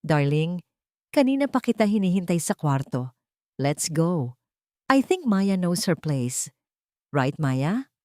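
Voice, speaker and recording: A woman performs a cold, haughty wealthy fiancée in an audio drama. She is condescending and falsely sweet, with lively swings of pitch over a slightly low register, recorded dry and close. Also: slow, long pauses, dry recording. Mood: cold, possessive. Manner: condescending, sugary, switching between English and Tagalog.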